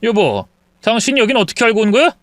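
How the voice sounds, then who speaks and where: An angry, contemptuous cheating husband, read by a woman narrator with a lively, rising pitch when he barks. The recording is dry and close. He sounds dismissive and cold. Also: fast talker, no echo. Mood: startled. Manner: barking, contemptuous.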